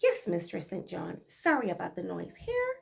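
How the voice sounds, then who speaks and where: A woman voicing a nervous housemaid with a Barbadian accent, pitched higher and louder than her own voice. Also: wildly swooping pitch, moderate pace, vocal fry, exaggerated voice. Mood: eager. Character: nervous female housemaid, jittery and eager.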